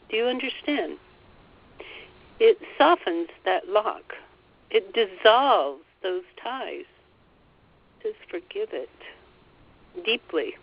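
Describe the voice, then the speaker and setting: A woman leading a guided meditation, relaying a guide's words in a gentle, soothing way and often repeating phrases. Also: medium pitch, expressive, drawn-out words, long pauses, breathy edge, dull audio. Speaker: spiritual teacher leading a meditation.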